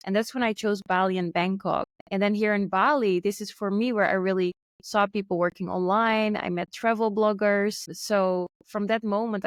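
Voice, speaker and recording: A woman's voice: medium pitch, dry recording. Friendly woman telling her story. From Netherlands. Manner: friendly, storytelling, light laughs. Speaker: podcast guest, entrepreneur. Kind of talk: casual interview conversation. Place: Bali, remote call.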